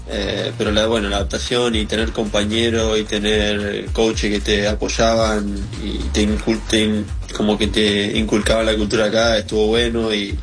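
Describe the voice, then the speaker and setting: A man in his twenties with an Argentine accent, answering interview questions in a relaxed way. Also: fairly low pitch. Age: 20s. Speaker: soccer player, interview guest.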